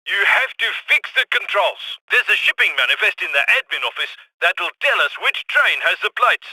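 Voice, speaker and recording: A brisk heist coordinator in a video game, wry and instructive. His high voice is piercingly bright, coming dry through a radio filter. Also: big pitch swings, husky, dry recording, heavily acted, poor audio. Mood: urgent, instructive.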